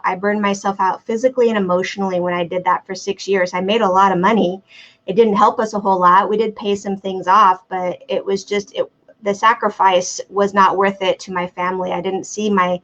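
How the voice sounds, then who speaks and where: A woman's voice: medium pitch, fairly bright tone, highs cut off. A middle-aged American woman talking casually as an interview guest, chatty, with frequent fillers and easy laughs.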